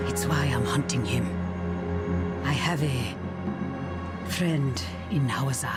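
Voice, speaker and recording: An actress playing a solemn, determined hunter in a dark fantasy game, speaking deliberately. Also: female voice, extremely low pitch. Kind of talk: video game cutscene acting. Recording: loud music over the voice.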